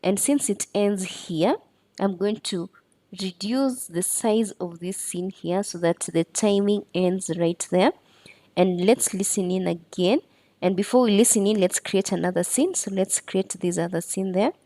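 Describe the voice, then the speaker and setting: A woman's voice: mid-range voice, measured pace, dark tone, no echo. A woman presenting a step-by-step screen tutorial in an instructional way.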